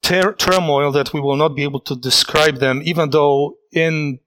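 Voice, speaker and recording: Man, preacher, sermon, earnest, reflective, halting, slightly high voice. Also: fairly even intonation, a bit bright. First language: Polish.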